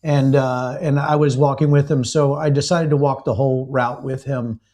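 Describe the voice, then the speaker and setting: Male guest, rambling anecdotes full of ums and restarts, mid-pitched. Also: fairly even intonation, dark tone. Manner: rambling storyteller, halting with fillers. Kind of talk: live remote interview.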